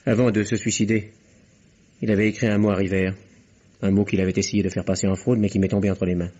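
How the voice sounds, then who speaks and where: A man's voice: fairly low pitch, little pitch movement, fast, dark tone, lightly acted. A man playing a shrewd, composed investigator in a French radio mystery drama, explaining things in an assured, matter-of-fact way. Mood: composed, explanatory. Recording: muffled lo-fi vintage radio recording.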